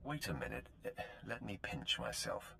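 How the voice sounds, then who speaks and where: Menacing Sith apprentice, video game, rock-bottom pitch, gravelly, swinging intonation, contemptuous, commanding. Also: male voice, wildly swooping pitch, unhurried, bright, forceful tone, slight background noise, exaggerated voice. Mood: sardonic. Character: young male Sith warrior apprentice, menacing, arrogant, sardonic.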